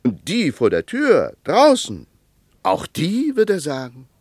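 A man's voice: on the high side, huge pitch swings, slow pace, no room sound, clean studio sound, exaggerated voice. Ironic, swooping male debater. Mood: mock-hearty. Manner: ironic, emphatic, lecturing. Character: argumentative male traveller, ironic debater skeptical of ideals. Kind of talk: German radio play dialogue.

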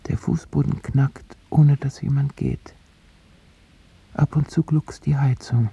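A man narrating a radio drama in the first person, in a hushed voice that often drops into a whisper. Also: mid-range voice, fairly even intonation, takes it slowly, dull audio. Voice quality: gravelly, raspy voice. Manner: hushed, partly whispered, careful.

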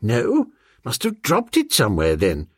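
A man voicing an amiable, dim young upper-class English gentleman in an audiobook, hesitant and full of old-fashioned exclamations. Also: mid-range voice, wildly swooping pitch, a little slow, slightly rough, dry recording, clean studio sound, exaggerated voice. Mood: rattled, chatty.

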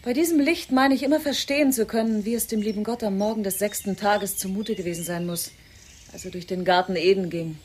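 An actress playing a self-assured, patronizing zoo director in a German radio drama, declamatory and condescending. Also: female voice, medium pitch, a little fast, a little mellow, slight background noise, natural voice. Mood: exalted, proud. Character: Andrea Burden, grandly self-assured, patronizing woman running a zoo project.

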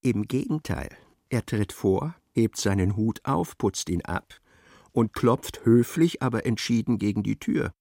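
A man's voice: lowish voice, expressive, unhurried, vocal fry, mellow, dry recording, heavily acted. A man narrating a literary radio play in a precise, wry, dramatic storytelling manner.

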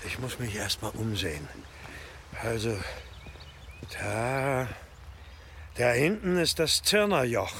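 An actor playing a frail, anxious, learned elderly abbot in a German audio drama, speaking haltingly with gasps. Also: male voice, mid-range voice, lively intonation, creaky, a bit bright, a little hiss or hum, full character voice. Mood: hushed, shaken. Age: older. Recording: long cave-like reverb, music underneath.

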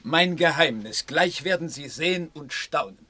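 An actor playing an earnest, polite, lovestruck young suitor in a German radio play. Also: male voice, fairly high pitch, expressive, moderate pace, pushed and bright. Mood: urgent. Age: young adult. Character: earnest, lovestruck young suitor living beyond his means.

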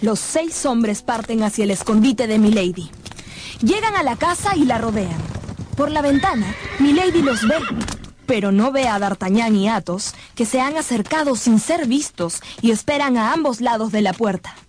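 A woman's voice: fairly high pitch, animated, moderate pace, noisy background. A woman delivering scripted, dramatic narration for a radio play.

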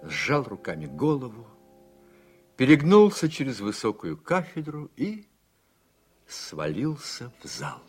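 A man with a husky voice narrating a radio play in a dramatic, scripted storytelling style. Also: medium pitch, huge pitch swings, takes it slowly, darkish tone, faint music behind.

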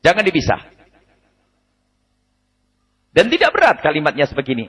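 A man giving a lively, emphatic religious study lecture, repeating his key points and chuckling now and then. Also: on the high side, normal speed, husky. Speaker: preacher. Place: study gathering hall. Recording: radio broadcast with long hall reverb.

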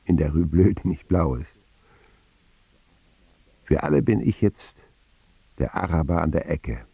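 An actor playing a grown man recalling his boyhood, narrating a radio drama in a measured, reflective, understated way with a husky voice. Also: expressive, warm tone, no room sound, heavily acted. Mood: reflective, subdued. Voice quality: very deep, husky, gravelly. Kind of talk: scripted first-person radio drama narration.

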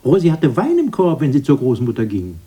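An actor playing a prim, nostalgic elderly brother in a radio play, earnest and quick to correct. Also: male voice, mid-range voice, wildly swooping pitch, warm tone, husky. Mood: piqued, correcting. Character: elderly brother, proper and nostalgic, easily piqued.

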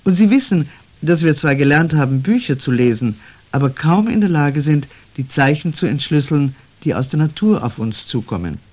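A man's voice: on the high side, animated, normal speed, a little mellow. A man reading a scripted introduction as an announcer on 1970s German radio.

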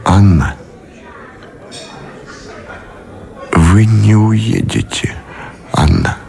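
A dignified naval officer in a radio play. His voice is low and his delivery is deliberate and formal. He sounds reserved, choosing each phrase with measured care. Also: creaky, darkish tone, a faint crowd. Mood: tender, grave. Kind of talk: Russian radio drama acting.

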